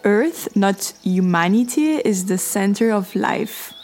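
A woman hosting a podcast, reading a calm, reflective scripted narration. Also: medium pitch, takes it slowly, soft background music. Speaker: podcast host.

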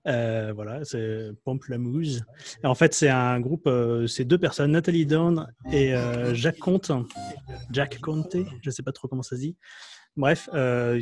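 A man's voice: mid-range voice, a little mellow. A man in a casual tech chat, telling things in an informal, slangy, anecdotal way. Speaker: podcast panelist.

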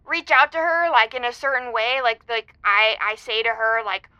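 A quirky teenage caller in an audio comedy-drama, earnest and rambling. The voice is very high and bright, full of goofy slang. It comes through slightly lo-fi, like a phone-in. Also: female voice, moderate pace, clean tone, no room sound. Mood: excited, goofy. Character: quirky, earnest nineteen-year-old radio call-in magician. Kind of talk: semi-improvised audio drama acting. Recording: dull lo-fi phone-in sound.

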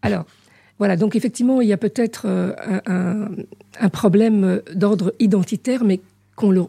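A woman politician originally from Morocco answering interview questions in a measured, hesitant way, pausing often between phrases. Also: mid-range voice, fairly even intonation, vocal fry, dark tone, breathy edge, no echo, studio quality. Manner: measured, hesitant, with frequent pauses. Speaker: politician guest.

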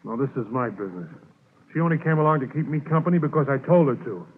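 An actor playing a gruff, defiant male drug smuggler in a 1950s radio drama. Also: fairly high pitch, lively intonation, quick pace, phone-quality sound. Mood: resigned, defiant. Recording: noisy band-limited 1950s radio recording.

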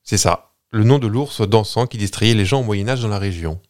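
A man's voice: lowish voice, a little fast, darkish tone. A man hosting a podcast, telling a story in a playful, rambling way with many hesitations. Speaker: podcast host. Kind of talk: casual chat, telling a story.

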